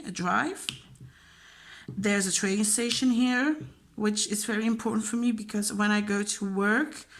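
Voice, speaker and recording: Young Flemish woman, casual vlog chat, laughs a lot, middle pitch. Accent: Flemish Dutch. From Belgium. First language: Dutch. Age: young adult. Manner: chatty, rambling, laughs often, many fillers. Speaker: vlogger and teacher. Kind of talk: casual chat answering a question tag.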